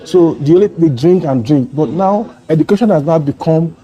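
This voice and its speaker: A man's voice: a little high, lively intonation, moderate pace, warm tone, low-quality recording. A man from Nigeria telling his travel story as an interview guest, earnest and rambling with many restarts. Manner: meandering, restarts often, earnest. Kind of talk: casual interview conversation.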